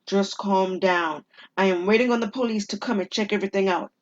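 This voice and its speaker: A woman's voice: medium pitch, fairly quick, lo-fi sound, lightly acted. A woman performing an urgent, flustered husband spooked by an intruder in an audio drama. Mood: uneasy, placating.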